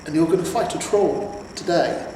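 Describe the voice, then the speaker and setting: A man's voice: on the high side, moderate pace, warm tone, recorded from a distance, lightly acted. A man voicing a nagging, cautious talking horse in a fantasy storybook reading. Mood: sceptical. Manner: nagging, questioning. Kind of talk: acted character dialogue in a fantasy storybook reading. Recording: very echoey reverberant room, faint noise.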